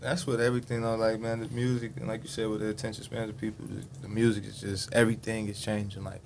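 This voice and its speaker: A man's voice: on the low side, level tone, fast talker, creaky, a little hiss or hum. A man in his late twenties, a rapper guest on a podcast, talking casually in slang with lots of swearing and "you know what I'm saying". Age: late 20s.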